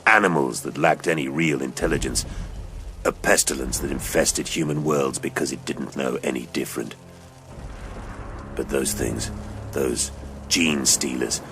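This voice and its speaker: A man playing a grim, haunted veteran space-soldier sergeant in an audio drama, speaking slowly and solemnly in a low, hushed growl. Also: noisy background. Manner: slow, brooding, solemn. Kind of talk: audio drama character acting.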